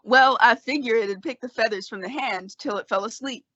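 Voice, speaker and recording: A woman's voice: a little high, moderate pace, fairly bright tone, no room sound, highs cut off. A woman in an old-time western radio play, voicing a crusty, teasing, long-winded frontier doctor.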